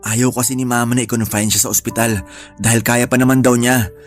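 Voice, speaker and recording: An actor playing a worried, devoted young son in a radio drama, with an earnest, troubled way of talking. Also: male voice, mid-range voice, flat delivery, brisk, faint music behind, lightly acted.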